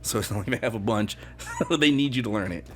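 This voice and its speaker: A man's voice: medium pitch, a little hiss or hum. A man co-hosting a podcast, blunt and profane in casual chat. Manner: blunt and profane, jumps in with quick reactions. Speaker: podcast co-host.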